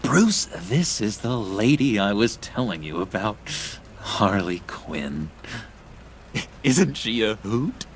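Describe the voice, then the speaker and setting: A man playing an eager, unstable, childlike misfit in a video game, stammering and excitable. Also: on the low side, slow pace, a little hiss or hum. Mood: eager to please. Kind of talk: video game character acting.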